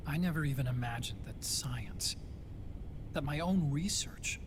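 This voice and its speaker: A man with an American accent playing an earnest, guilt-ridden scientist in a video game cutscene, speaking softly and haltingly. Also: mid-range voice, lively intonation, noisy background, lightly acted. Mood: regretful, reflective. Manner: hesitant, halting, soft-spoken.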